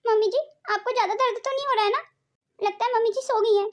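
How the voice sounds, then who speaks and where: Woman voicing a polite young daughter-in-law, very high. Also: fairly even intonation, quick pace. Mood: worried. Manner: polite, deferential. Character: dutiful, sweet young working daughter-in-law. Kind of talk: Hindi cartoon family drama dialogue.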